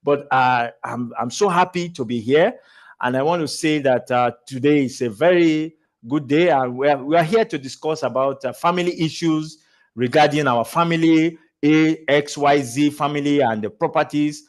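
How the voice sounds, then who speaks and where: A man giving a motivational talk on public speaking, animated, with lots of restarts and repeated words, laughing as he goes. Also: a little high, moderate pace. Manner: laughs while talking. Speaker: speaking coach.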